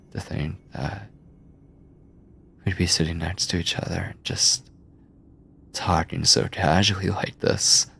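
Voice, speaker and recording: A man playing an obsessive, controlling mad scientist in an ASMR horror roleplay, speaking deliberately with a breathy, clinical yet doting manner, often near a whisper. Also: low-pitched, steady tone, fairly bright tone, heavily acted. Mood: tender, confessional. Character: obsessive yandere mad scientist, soft-spoken, controlling male captor.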